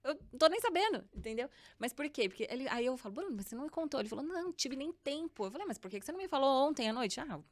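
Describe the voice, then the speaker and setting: A woman's voice: high-pitched, racing, few pauses. A Brazilian woman in her thirties, a guest co-founder chatting casually on a podcast and telling stories with acted-out dialogue. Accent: Brazilian Portuguese. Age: 30s. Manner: lively storytelling, acts out dialogue, frequent laughter.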